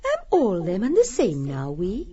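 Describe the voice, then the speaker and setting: A woman's voice: medium pitch, wildly swooping pitch, a little slow, dark tone, lo-fi sound. A woman playing a domineering, seductive talking fan in an audiobook drama, with clipped, commanding phrases. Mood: knowing, taunting.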